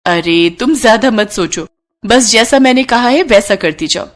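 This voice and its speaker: A woman's voice: on the high side, animated, normal speed, faint music behind, close to an everyday voice. Woman as a confident, effusive young actress. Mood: confident, affectionate. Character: glamorous, grateful young actress, confident and big-sisterly. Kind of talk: Hindi cartoon story dialogue.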